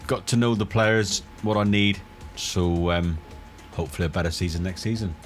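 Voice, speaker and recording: A man's voice: low-pitched, steady tone, normal speed, faint music behind. A middle-aged or older man chatting casually as a co-host, with brief, hesitant, understated replies. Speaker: co-host, the host's father. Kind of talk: casual chat.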